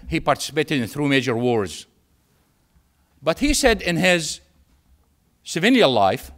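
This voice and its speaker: A man from Syria with a Syrian Arabic accent and Arabic as his first language, giving a public lecture in an emphatic way with repetition and pauses for effect. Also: on the high side, fairly bright tone. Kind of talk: public lecture with anecdotes and humour.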